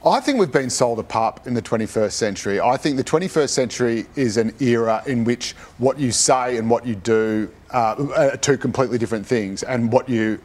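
Male Australian panellist, mid-pitched, colloquial and emphatic, airing opinions in discussion. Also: fairly quick, few pauses, a little mellow. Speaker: radio panellist.

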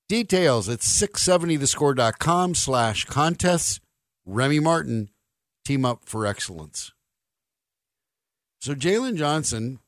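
A man's voice: mid-range voice, no echo, clean studio sound. An American man hosting a sports talk radio show, with a wry, opinionated and emphatic delivery.

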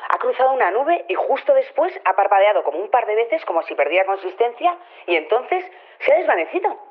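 A woman's voice: fairly high pitch, big pitch swings, brisk. Scatterbrained female reporter on a tinny phone. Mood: amazed. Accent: Castilian Spanish. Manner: chatty, stammering, easily sidetracked. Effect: telephone filter. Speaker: on-the-scene reporter. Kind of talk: colloquial acted phone report. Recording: tinny narrow phone line.

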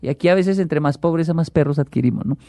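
A man with a gravelly, creaky voice, chatting as a guest on a talk show. He tells his stories with energy and acts out what others said. His voice sits fairly high for a man, with a dark, mellow tone. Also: fast, no echo. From Colombia. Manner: animated storyteller, acts out quotes. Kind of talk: casual chat.

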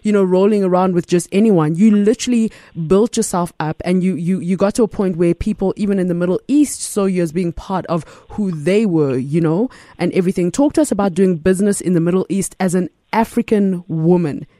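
Rambling, enthusiastic woman host, mellow voice. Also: on the low side, a little fast, few pauses, no room sound, studio quality. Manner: enthusiastic, rambling questions with many fillers. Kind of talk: casual interview talk.

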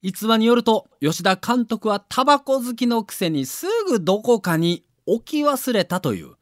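A man's voice: high-pitched, animated, normal speed, dry recording, clean studio sound. A man reading a scripted documentary narration with a theatrical storyteller's delivery.

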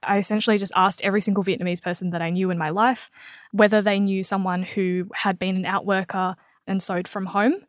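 A woman's voice: mid-range voice, no echo. Australian woman, dry close sound. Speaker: podcast guest, writer and editor. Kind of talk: conversational interview storytelling.

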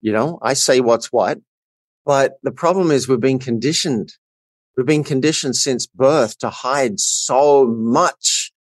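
A man's voice: mid-range voice, slow, no room sound. A middle-aged man with an Australian accent, telling his personal story earnestly and emphatically as a coach guesting on a podcast.

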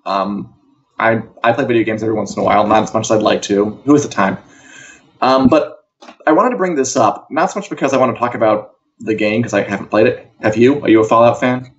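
A man's voice: fairly low pitch, quick pace, highs cut off. A man co-hosts an entertainment news show, chatting casually with a wry, conversational manner.

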